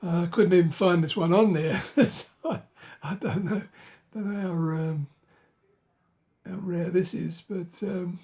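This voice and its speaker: Hoarse slow-talking man with a cold. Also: high voice, steady tone, dark tone. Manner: halting, many ums and uhs, drops to a whisper. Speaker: book collector vlogger. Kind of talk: casual show-and-tell of books.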